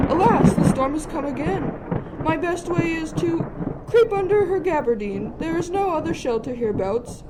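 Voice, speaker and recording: A woman's voice: very high voice, animated, moderate pace, heavy background noise. A woman playing a jittery, inquisitive shipwrecked jester in a theatrical Shakespearean radio play. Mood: wary curiosity. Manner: theatrical, musing in archaic prose.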